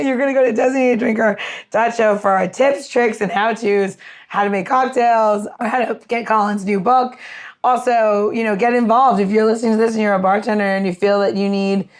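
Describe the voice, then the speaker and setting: A woman co-hosting a podcast in casual, bantering chat, joking and salty-tongued. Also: a little high. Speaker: podcast co-host.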